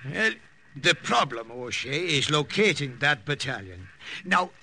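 An actor playing an earnest, deliberate wartime priest in an old radio drama, with measured, emphatic phrasing. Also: male voice, on the high side, big pitch swings, pushed and bright, full character voice. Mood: earnest, reasoning. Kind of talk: 1950s radio drama acting. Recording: old radio recording, faint noise.

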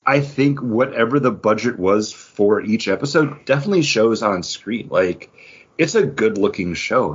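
A man hosting a podcast in a casual chat, rambling and halting, with "like" dropped in often. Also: medium pitch, breathy edge, lo-fi sound. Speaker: podcast host.

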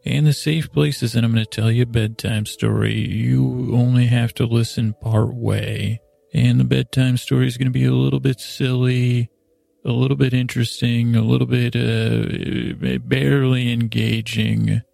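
A man hosting a bedtime podcast in a lulling, meandering monologue, halting and digressing as he goes, with a gravelly, creaky voice. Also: medium pitch, level tone, warm tone, no echo. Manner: hesitant, halting, repeats and digresses; lulling, soothing delivery.

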